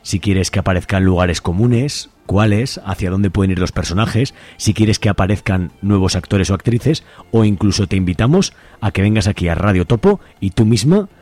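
A man's voice: sits low, expressive, normal speed, darkish tone. A man with an accent from Spain presenting a community radio show in an informal, unscripted way.

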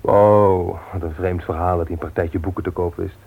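An actor playing a frustrated young bookseller nephew in a 1960s radio drama, earnest and husky, on a dull old recording. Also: male voice, low-pitched, fairly even intonation, moderate pace, full character voice. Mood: worried, confiding.

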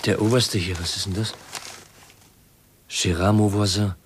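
An actor playing a troubled, earnest young man who speaks in halting, intense phrases in a 1950s German radio drama. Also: fairly even intonation, lightly acted. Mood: puzzled, shaken. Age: young adult. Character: troubled, earnest young male outsider in a mystery. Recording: faintly noisy, rumbly 1950s radio recording.

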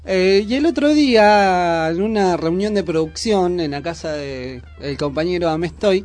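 High-voiced Argentine man chatting on radio. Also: lively intonation, a little slow, a little mellow. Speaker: radio show host. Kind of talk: casual radio chat.

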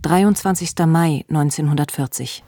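A woman's voice: deep voice, normal speed, dark tone, no room sound, studio quality. A woman as a neutral, formal announcer of scenes in a radio drama. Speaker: announcer of places and dates.

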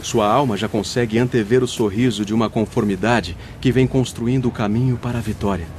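A Brazilian actor in a radio drama playing a wise, patient spirit mentor, teaching in a solemn, formal manner. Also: male voice, on the low side, fairly even intonation, a little fast, a little hiss or hum, close to an everyday voice. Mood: reassuring. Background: soft music.

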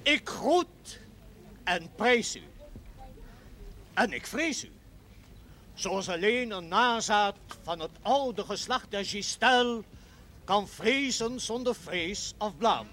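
An actor playing a pompous, old-fashioned aristocratic baron who declaims a formal, grandiloquent speech in a television period drama. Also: male voice, high-pitched, expressive, slow, pauses often, pushed and bright, a little hiss or hum, exaggerated voice. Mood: florid, reverent.

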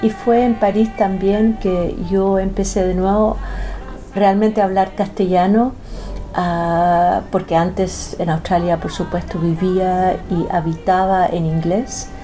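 A woman in her sixties, originally from Chile, talks about her life in theatre as an interview guest. Her voice is warm and mellow, and her delivery is slow and thoughtful, with a middle pitch. A faint trace of music sits in the background. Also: little pitch movement. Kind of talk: casual interview talk.

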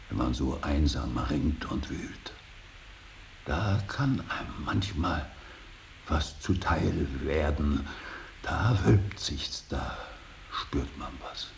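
An actor playing a stern, brooding old painter and father in a radio drama, solemn and intense, moving between hushed breathy words and forceful declaiming. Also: rumbling low, exaggerated voice. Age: older. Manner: solemn, intense, swings from hushed whisper to outburst. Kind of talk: 1980s German radio drama acting. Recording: hissy radio drama recording.

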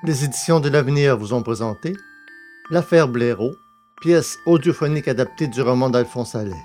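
Male announcer, medium pitch, scripted credits reading, faint plucked guitar behind. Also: normal speed, a little mellow. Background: plucked guitar music.

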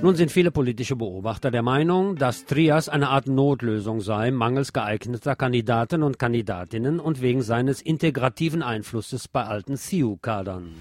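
A man's voice: medium pitch, normal speed, few pauses, no room sound, soft background music. A man presenting scripted radio news commentary, with opinionated, wry asides.

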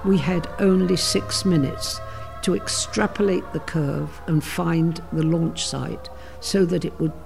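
A woman's voice: fairly low pitch, steady tone, slow, creaky, darkish tone, faint music behind. An older woman, a veteran, giving interview testimony about her past. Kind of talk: interview reminiscence.

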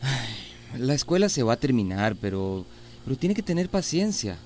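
A man's voice: mid-range voice, sing-song, moderate pace, a little mellow, a little hiss or hum, natural voice. An actor with a Central American accent playing a placating man in charge of village works in a radio drama.

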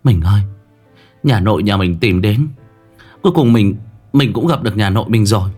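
A man voicing a gentle, sincere village wife in a story, reassuring and heartfelt. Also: deep voice, lively intonation, moderate pace, faint music behind. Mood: overcome with emotion. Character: gentle, sincere married village woman revealing family history.

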